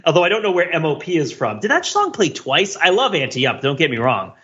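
A man co-hosting a podcast, chatting casually about pop culture in a rambling, digressive, animated way on a slightly lo-fi track. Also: fairly high pitch, fairly quick. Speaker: podcast co-host.

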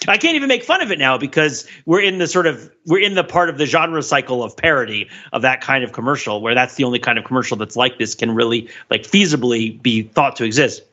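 A man's voice: medium pitch, animated, quick pace, few pauses, pushed and bright, dull audio. A man co-hosting a casual panel podcast, talking in a rambling, riffing way with mock-earnest commentary. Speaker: podcast host.

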